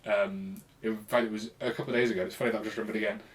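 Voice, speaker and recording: A man's voice: on the low side, steady tone, very fast. A man hosting a casual chat with a friend, explaining things in a loose, rambling way. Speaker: podcast host. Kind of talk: casual retro gaming chat between friends.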